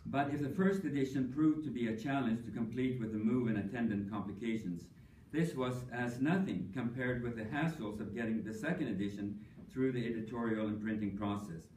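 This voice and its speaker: An older man speaks at an academic tribute, reading prepared remarks in a measured way, sprinkled with ums and dry humor. He is heard from a distance in an echoey conference hall with a faint hum of ambience. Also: medium pitch, a little mellow. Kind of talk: prepared tribute speech with anecdotes.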